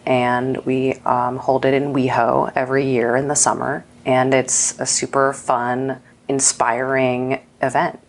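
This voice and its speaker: A woman speaking casually as an interview guest, informal and peppered with "like" and "you know". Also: a bass voice. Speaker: film festival director, interview guest.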